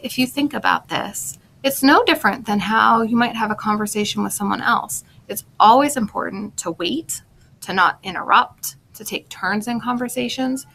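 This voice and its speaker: A woman's voice: on the high side, vocal fry, bright, forceful tone, slight background noise, poor audio. A woman speech language pathologist explaining in simple, instructional words to young listeners, as in a picture book read-aloud.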